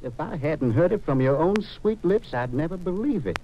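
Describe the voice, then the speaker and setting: An actor playing a courteous, slow-witted Southern gunman with a plaintive, folksy manner and a Southern accent in a 1950s radio drama. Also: a little high, lively intonation, natural voice. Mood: wounded. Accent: American Southern. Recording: dull, noisy old radio recording.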